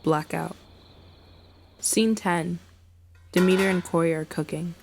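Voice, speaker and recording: A woman's voice: on the low side, steady tone, slow pace, gravelly, noisy background. A woman reading stage directions as scripted narration for a radio play.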